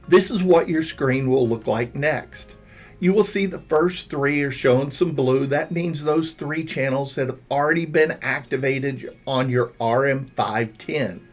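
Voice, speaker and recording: A man reading a scripted instructional narration as a tutorial narrator, guiding the listener step by step. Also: mid-range voice. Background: background music.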